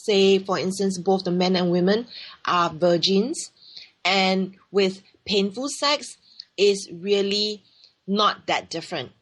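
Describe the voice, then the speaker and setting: A woman with a Singaporean English accent hosts a solo radio talk show. She explains in an earnest, teacherly way, and her voice sits at a mid pitch. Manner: earnest, explanatory, reads aloud then speaks freely with restarts. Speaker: radio show host and sexologist.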